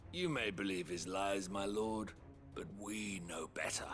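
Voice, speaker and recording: A man's voice: lowish voice, a little slow, a bit bright, soft background music. An actor playing a haughty, bitter and distrustful townsman in a video game, formal and dismissive. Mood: scornful. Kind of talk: dramatic video game acting.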